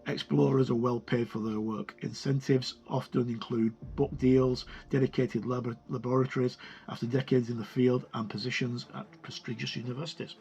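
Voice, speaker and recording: A man giving a casual book walkthrough as a game reviewer, moving between reading aloud and halting, informal commentary. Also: mid-range voice, little pitch movement, creaky, dark tone, muffled recording. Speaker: tabletop roleplaying game reviewer.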